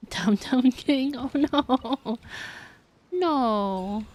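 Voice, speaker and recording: A woman's voice: sits high, drawn-out words, a little mellow. A woman chatting casually on a game live stream, answering viewers and sometimes mumbling her words. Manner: mumbles at times, reads and answers chat. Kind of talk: casual live-stream chat while gaming.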